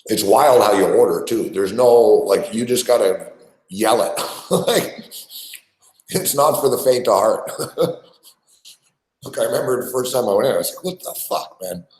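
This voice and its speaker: A man chats loosely on a live sports betting show, loud and full of swearing as he rambles between topics. His voice sits a little low. The room around him is echoey. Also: measured pace, long pauses. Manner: loud, profane, rambling banter. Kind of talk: casual live-stream chat while eating. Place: small studio.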